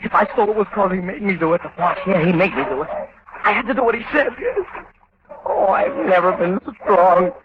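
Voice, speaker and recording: A man's voice: high-pitched, brisk, narrow, tinny audio, lightly acted. An actor playing a meek, terrified man on the run, pleading and stammering in a 1940s radio drama. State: frightened, near tears. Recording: scratchy old radio recording, hiss.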